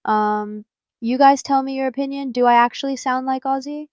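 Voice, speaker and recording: A woman's voice: high voice, steady tone, moderate pace, a little mellow, clear, resonant tone, no echo. A young woman from Japan, Japanese her first language, speaking English with a mixed American and British accent as a podcast host, chatty with many fillers. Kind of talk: casual solo podcast talk.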